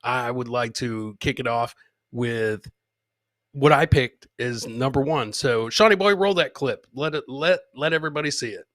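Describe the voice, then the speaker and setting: A man's voice: medium pitch, normal speed, a bit bright. A man hosting a live comedy podcast, chatting informally with viewers and swearing casually. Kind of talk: casual live show chat.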